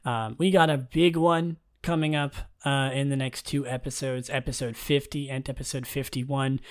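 A young man with a raspy voice hosting his own podcast, talking in a relaxed, rambling way and reading out game news. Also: moderate pace. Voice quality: raspy, low tonight. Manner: relaxed and rambling, many ums and restarts. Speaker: podcast host.

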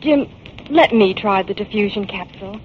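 A woman's voice: mid-range voice, moderate pace, natural voice. A woman playing an eager, plucky young lab assistant, playful and pleading, in a 1940s radio drama. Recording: muffled, noisy 1940s radio recording.

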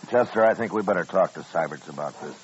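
An actor playing a calm, dry-humored American marshal in an old radio western, with a laconic, understated manner. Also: male voice, sits low, fast, slight background noise, lightly acted. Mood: businesslike. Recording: dull old-time radio recording.

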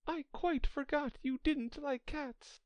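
A woman's voice: sits high, slow, darkish tone, no room sound, dull audio, pitched above the performer's own voice. A woman voicing a polite, chatty little girl in a children's storybook, earnest and apologetic as she rambles on. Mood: apologetic, flustered.

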